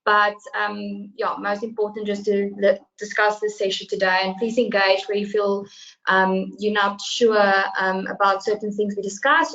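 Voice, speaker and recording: Woman organizer opening a webinar; flat and halting, muffled video call sound. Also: mid-range voice. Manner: halting, many fillers. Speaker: session organizer. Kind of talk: brief introduction.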